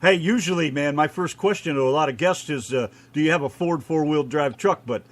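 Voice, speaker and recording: A man's voice: a little high, fairly quick. A man hosting a casual interview in a laid-back, joking way. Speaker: interview host.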